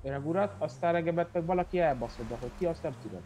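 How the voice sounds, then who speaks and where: A man with a high voice talks in game chat. He is casual, profane and keen to dispute things. A faint layer of noise sits behind him. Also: mellow. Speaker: roleplay game player.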